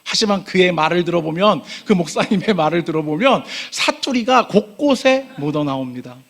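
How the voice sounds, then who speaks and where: A young man preaching a sermon as pastor in a church sanctuary, earnest, pushing up on key phrases and dropping quiet at sentence ends. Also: high voice, lively intonation, normal speed, gravelly.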